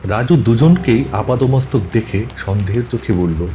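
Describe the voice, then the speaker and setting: A man's voice: mid-range voice, lively intonation, moderate pace, dark tone, a little hiss or hum. A man reading a scripted ghost story as its narrator.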